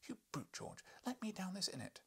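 A man voicing an indignant, scolding wife in an audiobook, with a breathy voice. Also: high-pitched, wildly swooping pitch, brisk, pitched above the performer's own voice, exaggerated voice. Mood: indignant, pleading. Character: indignant, scolding wife of a professor, high-strung woman. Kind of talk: audiobook character voice.

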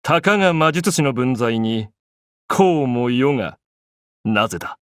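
An actor playing an arrogant, grandiose young pharaoh in an anime game, declaiming in an imperious, pompous way. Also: male voice, medium pitch, expressive, measured pace, no echo, studio quality, natural voice. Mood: haughty, intrigued.

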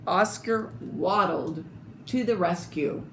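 An older woman reading a children's picture book aloud in an expressive, deliberate, theatrical way, with little asides. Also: lowish voice. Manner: slow, deliberate, theatrical phrasing. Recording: clearly noisy home video recording.

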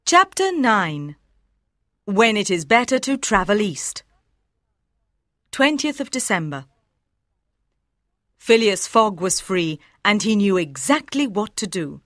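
A woman reading scripted story narration for learners in a measured, clearly enunciated way. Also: mid-range voice, very animated, a bit bright, no room sound, clean studio sound. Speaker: audiobook narrator.

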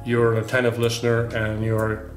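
A man's voice: medium pitch, completely flat delivery, normal speed. A man hosting an informal on-camera interview as the interviewer. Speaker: interviewer, host. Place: indoor listening studio. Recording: roomy sound with guitar music. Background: clear guitar music.

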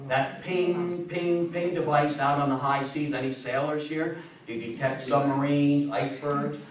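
A man in his sixties lectures to a room in a fairly high voice, stressing his points like a storyteller. He pauses for emphasis and puts questions to his listeners. The sound is very echoey and picked up from a distance, with a faint layer of noise. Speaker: guest lecturer on history. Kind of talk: public talk to an audience. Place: indoor meeting room with seated audience. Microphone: distant camera microphone.

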